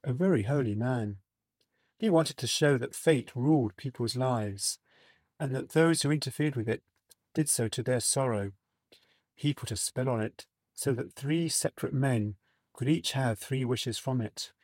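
A man voicing a grave, gruff middle-aged sergeant major in a storybook reading, slow and solemn in manner. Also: mid-range voice, darkish tone, dry recording, pitched above the performer's own voice, lightly acted. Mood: grave, ominous. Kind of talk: dramatised storybook character reading.

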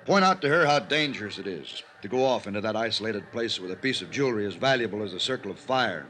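Anxious older business manager, noisy recording. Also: male voice, fairly low pitch, expressive, fast, bright, forceful tone. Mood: urgent, insistent. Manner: earnest, urgent, pleading. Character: anxious, insistent older male business manager, protective and evasive. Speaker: actor playing a business manager in a radio mystery serial. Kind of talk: old-time radio drama acting. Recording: noisy old radio recording.

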